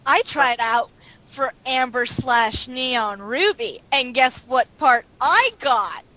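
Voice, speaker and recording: A woman's voice: high-pitched, expressive, slow pace, sharp tone, low-quality recording. A woman chatting casually in a group interview as a voice actor. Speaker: voice actor and series co-creator. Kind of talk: casual chat.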